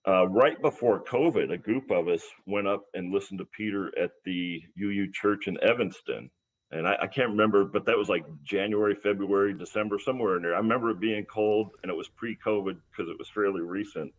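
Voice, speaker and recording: An American man giving an informal introduction as a church host, rambling and reminiscing with halting, repeated words. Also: lowish voice. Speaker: church service host introducing a guest musician. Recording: dull, lo-fi livestream audio.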